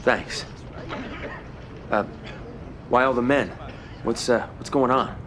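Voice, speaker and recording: An actor playing a businesslike, inquisitive American mafia underboss in a video game cutscene, direct and plain-spoken. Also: male voice, mid-range voice, steady tone, takes it slowly, a crowd in the background, noisy background, close to an everyday voice. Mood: questioning.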